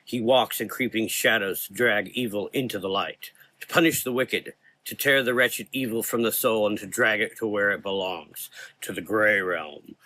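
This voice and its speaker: A man's voice: fairly low pitch, normal speed, bright, forceful tone. A man narrating a scripted gothic horror story in a dramatic, solemn manner.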